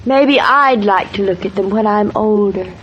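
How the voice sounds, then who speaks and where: A woman acting a fond, gently needling wife and new mother in an old-time radio soap opera. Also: mid-range voice, moderate pace, highs cut off, a little hiss or hum, lightly acted.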